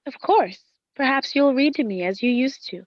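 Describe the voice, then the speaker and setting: An actress playing a devoted, worried wife in a gothic radio play, speaking in formal, literary phrasing. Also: female voice, high-pitched, animated, normal speed, no room sound, lo-fi sound. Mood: tender, coaxing. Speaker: actress in an audio drama. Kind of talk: dramatic first-person radio play narration and dialogue.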